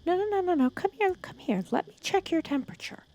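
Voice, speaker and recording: A woman playing a protective, teasing female villain who scolds and cares for her hero nemesis in an ASMR roleplay, sarcastic and often dropping to a hush. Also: very high voice, lively intonation, quick pace. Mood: worried, bossy. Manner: scolding yet caring, sarcastic, dropping into hushed breathy asides. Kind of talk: ASMR roleplay audio drama.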